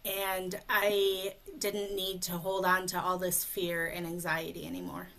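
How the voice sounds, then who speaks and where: A woman speech coach leading a guided tapping session, speaking slowly and calmly in short phrases for a client to repeat, over a video call. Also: fairly low pitch, creaky, poor audio. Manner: slow, calm, leads phrases for repetition.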